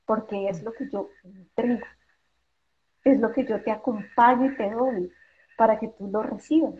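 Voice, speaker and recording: A woman in her forties, a guest speaker on a video call from a room at home, explaining with emphasis, asking rhetorical questions and acting out what parents say. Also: a little high. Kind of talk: explanatory interview talk. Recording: dull lo-fi video call audio.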